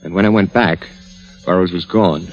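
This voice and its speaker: An actor playing a guilt-ridden, frightened husband in trouble, with a troubled, confessional 1940s radio delivery. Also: male voice, sits low, steady tone, moderate pace, poor audio. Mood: ashamed confession. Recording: vintage radio recording with music.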